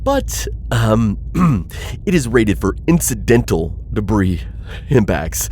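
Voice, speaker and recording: A man's voice: on the low side, very animated, takes it slowly, a little mellow, a little hiss or hum. A man playing an anxious, pleading insectoid alien bounty hunter in a sci-fi audio roleplay, flustered, hesitant and formally wordy. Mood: nervous, urgent. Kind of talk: scripted audio roleplay addressed to listener.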